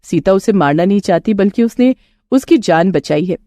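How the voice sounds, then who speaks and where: A woman storyteller reading a scripted moral tale in an expressive, dramatic way. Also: medium pitch, normal speed, no room sound, studio quality.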